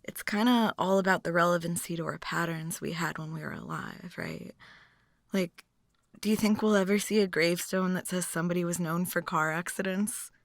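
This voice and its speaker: A woman's voice: on the low side, normal speed, vocal fry, dry recording. A woman acting an intimate, morbidly musing date, dry close sound. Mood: quiet, reflective. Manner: musing, teasing, rambling with soft chuckles. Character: morbid, chatty, philosophical young woman on a graveyard first date. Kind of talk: ASMR roleplay, intimate monologue to the listener.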